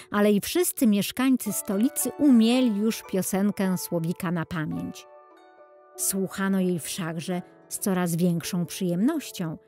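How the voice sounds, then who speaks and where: Unhurried woman narrating a children's tale. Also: on the low side, a little mellow. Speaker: audiobook narrator. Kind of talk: scripted children's storybook narration.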